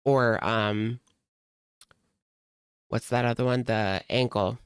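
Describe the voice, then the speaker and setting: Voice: medium pitch, fairly even intonation, slow pace, clear, resonant tone, no echo, clean studio sound. A reaction channel host talking casually in a blunt, mocking and sardonic way while commenting on a video.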